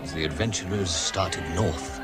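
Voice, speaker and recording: A man narrates a dramatized story in a measured, storybook way. His voice is fairly low, set against loud music. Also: a crowd in the background.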